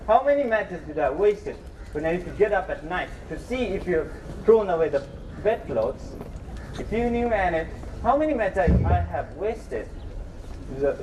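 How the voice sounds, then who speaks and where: Bitter, put-upon father in a school stage play, played by a man: high voice, declamatory, distant noisy recording. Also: a little mellow. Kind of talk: amateur stage play acting.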